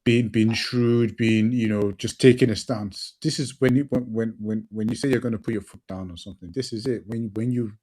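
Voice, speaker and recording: Low mellow man, sniffly. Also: fairly even intonation, brisk, few pauses. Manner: passionate, repeats words while thinking, swears. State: sniffly from hay fever. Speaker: podcast co-host. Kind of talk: casual football podcast chat.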